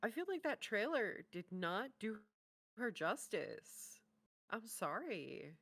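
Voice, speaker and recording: A woman's voice: mid-range voice, sing-song, takes it slowly, creaky, a bit bright, dry recording. A woman co-hosting a casual reaction show, chatting bluntly and expressively about game trailers.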